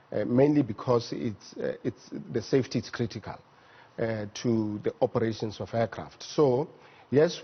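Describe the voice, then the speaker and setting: A man with a South African accent explaining things methodically and haltingly as an expert guest in a studio interview. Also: mid-range voice, breathy edge, muffled recording. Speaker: air traffic management executive, interview guest. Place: TV news studio.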